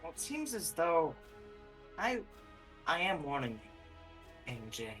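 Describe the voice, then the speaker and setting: Roleplay character voice, measured and cryptic, forceful tone, faint music underneath. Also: medium pitch, big pitch swings. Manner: measured, cryptic, keeps saying anyways. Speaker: roleplay player.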